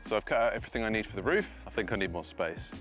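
A man's voice: lowish voice, expressive, racing, a bit bright, soft background music. A British man in his thirties talking informally and self-deprecatingly as he narrates his own woodworking video outdoors. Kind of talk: casual DIY vlog commentary. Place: outdoor paved garden patio by a field.

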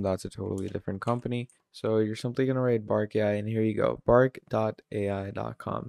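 A man giving a casual screen-recorded software tutorial, filling his talk with "obviously" and "you're gonna". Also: lowish voice, vocal fry, smooth, no room sound, studio quality. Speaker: tutorial presenter.

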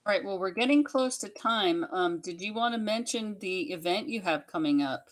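A woman's voice: medium pitch, expressive, moderate pace. A woman co-hosting a casual chat, passing on viewers' questions and announcements. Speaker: co-host relaying viewer questions.

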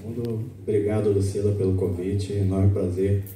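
Mellow-voiced man reading poetry in a hall. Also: on the low side, normal speed. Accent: Brazilian Portuguese. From Brazil. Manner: relaxed, talks with many fillers, then recites steadily. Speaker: poet. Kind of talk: poetry reading at a live open mic. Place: small auditorium stage, indoors. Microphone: stand mic, recorded by a far camera. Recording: echoey auditorium, far from the mic.

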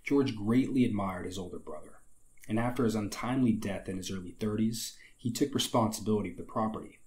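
A young man with a slightly raspy, hoarse voice, hosting a history channel and narrating from a script with wry conversational asides. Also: on the low side, fairly quick, a little mellow, poor audio. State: recovering from illness, slightly hoarse.

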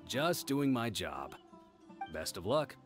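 An actor playing a helpful, patient village guard in a video game, friendly and thoughtful. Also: male voice, medium pitch, very animated, slow pace, faint music behind.

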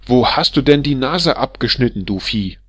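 A man voicing a shrill, furious middle-aged barber's wife who scolds and hurls insults at her husband in a storybook reading. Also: animated, moderate pace, a bit bright, slightly rough, no room sound. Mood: furious scolding.

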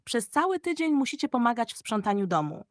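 A woman's voice: a little high, sing-song, fairly quick, no echo, clean studio sound, voice pushed up. A woman voicing a strict father scolding his pets in a children's storybook. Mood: stern. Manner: strict, reproving.

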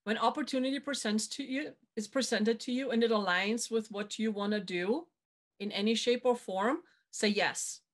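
A woman's voice: a little high, normal speed. A middle-aged woman from Germany, hosting a motivational video-call interview and stressing her advice with repetition. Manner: emphatic, repeats key advice. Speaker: podcast host. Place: home room with dark backdrop. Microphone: webcam on video call.

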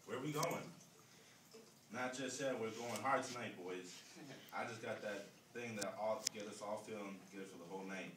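A man's voice: lowish voice, moderate pace, close to an everyday voice. A young man playing a blunt, mocking, party-hungry college guy in an amateur radio drama. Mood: eager. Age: young adult. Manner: slangy, blunt. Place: classroom, indoors. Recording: echoey, noisy room, distant mic.

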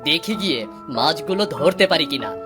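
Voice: mid-range voice, animated, brisk, over music, close to an everyday voice. An actor playing an easygoing village husband in a cartoon, grumbly but affectionate, with a folksy, exclaiming way of talking. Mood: relaxed, pleased. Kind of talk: cartoon voice acting.

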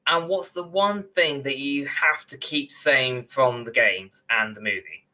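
A man in his twenties or thirties co-hosting a casual podcast over a video call, rambling and animated. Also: mid-range voice, a little slow. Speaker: podcast co-host. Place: small room at home, on a video call.

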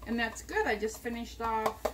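A woman's voice: fairly high pitch, expressive, moderate pace, heavy background noise. A woman from the United States chatting casually as she walks viewers through a cooking demonstration in her home kitchen, recorded on an overhead camera. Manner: chatty, talks viewers through each step. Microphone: camera mounted above the counter.

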